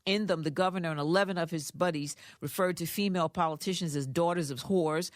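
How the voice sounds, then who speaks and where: A woman's voice: on the low side, quick pace, dry recording, studio quality. A woman reading radio news in a conversational way, adding informal asides. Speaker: radio newsreader. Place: radio studio.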